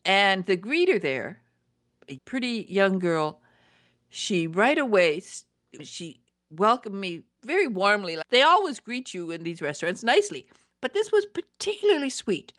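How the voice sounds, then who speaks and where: A woman interviewing conversationally, with halting phrases and emphatic stress on key words. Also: mid-range voice, big pitch swings, fairly bright tone, dry recording, studio quality.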